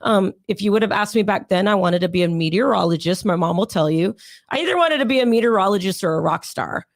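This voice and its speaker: A young adult woman, hoarse and raspy from sinus pressure, chatting as an interview guest in a rambling way with many fillers. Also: mid-range voice, animated, fast, dry recording, studio quality. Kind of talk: casual interview storytelling.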